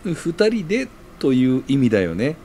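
A man's voice: mid-range voice, sing-song, moderate pace, mellow, slight background noise, lightly acted. A man acting a friendly older-brother figure in an audio drama, talking casually and prompting others. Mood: supportive, flustered.

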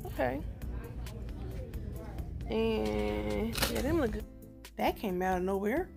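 A woman's voice: mid-range voice, animated, takes it slowly, gravelly, music in the background. A middle-aged woman chatting casually to viewers as she films herself walking a store aisle on a phone. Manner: chatty, addresses viewers as y'all. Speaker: vlogger. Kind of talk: casual shop-with-me chat. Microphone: handheld phone.

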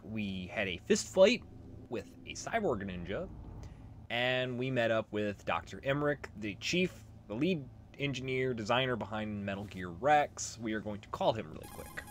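A man's voice: medium pitch, slight background noise. A man giving casual, informal let's play commentary as the host of a gaming video.

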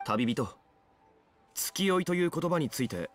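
A man voicing a dignified, ageless gentleman scholar in a Japanese video game, formal, measured and solemn. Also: a little high, animated, faint music behind. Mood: solemn, poetic.

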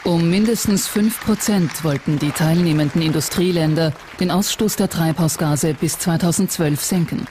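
A documentary narrator reading a scripted voice-over in a measured, factual way. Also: medium pitch, a little mellow, a faint crowd, slight background noise.

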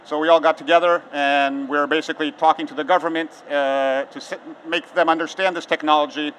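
Portuguese man, forties, American accent, bright higher voice, chatty conference speaker, faint outdoor hum. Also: steady tone, a little fast, poor audio. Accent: American English. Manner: conversational, many filler words and restarts. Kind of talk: conference talk. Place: large outdoor conference stage.

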